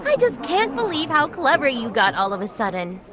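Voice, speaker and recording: A woman's voice: high-pitched, wildly swooping pitch, brisk, crowd noise. An actress playing a playful, shrewd young woman merchant, teasing and coaxing, in a video game. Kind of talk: dramatic video game dialogue. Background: music.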